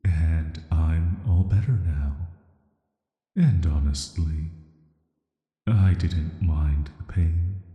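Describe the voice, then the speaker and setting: A man voicing an ancient banished villain in an audio roleplay, speaking softly, flatly and deliberately with a flirty, dangerous intimacy. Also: muffled recording, heavily acted. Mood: calm, intrigued. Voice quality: soft, low voice. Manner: flat, slow, deliberate, intimate. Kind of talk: atmospheric audio roleplay, sleep aid. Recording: echoey voice with long reverb.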